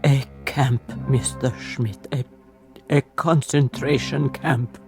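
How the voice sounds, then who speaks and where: A performer playing a guarded, sombre German village innkeeper, terse, reluctant and deferential, with quiet disapproval. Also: medium pitch, steady tone, slow, vocal fry, over music. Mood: reluctant, uneasy. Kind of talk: radio drama acting.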